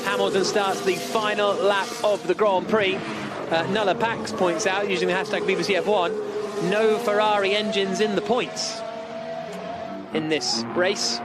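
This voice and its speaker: Male, high voice, live motorsport commentary, fluent emphatic calls, loud music behind. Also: fairly even intonation, unhurried. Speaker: lead motor racing commentator.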